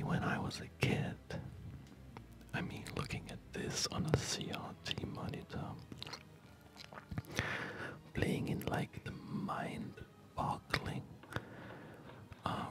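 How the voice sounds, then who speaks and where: A man in his thirties whispers softly and breathily in an ASMR gaming commentary, speaking gently with hesitant pauses. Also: poor audio. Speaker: ASMR video creator. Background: music.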